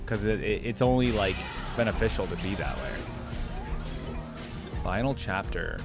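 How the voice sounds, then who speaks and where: A man's voice: lowish voice, moderate pace. A young man giving casual reaction commentary into a close desk mic, often reading text aloud. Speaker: reaction video host. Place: indoor gaming setup. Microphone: desk microphone close to the speaker. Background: game video music.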